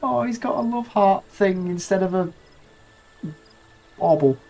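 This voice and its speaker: A man's voice: high voice, measured pace, gravelly, a little mellow, faint music behind. A man hosting a casual game playthrough, sounding nasal and subdued from a bad cold, with a wry, self-deprecating manner. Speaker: gaming video host. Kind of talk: casual let's-play commentary.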